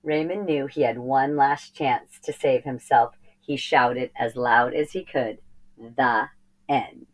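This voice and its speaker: A woman with a low-pitched voice narrates a children's storybook. She reads aloud expressively, telling the tale for young listeners. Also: unhurried, hardly any pauses, low-quality recording. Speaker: storybook reader.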